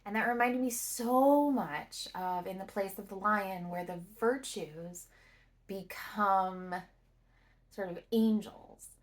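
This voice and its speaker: A woman giving a casual, reflective book review to camera, speaking thoughtfully in phrases broken by pauses. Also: mid-range voice.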